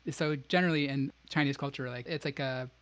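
A man's voice: medium pitch, steady tone, brisk, fairly bright tone. A man co-hosting a casual podcast, explaining ideas in a chatty way with plenty of fillers. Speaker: podcast co-host. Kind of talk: casual book discussion.

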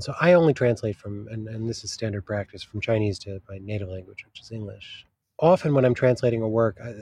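A man's voice: fairly low pitch, darkish tone, breathy edge. A man who works as a literary translator, talking in a casual interview, thoughtful and often searching for the right words mid-sentence.

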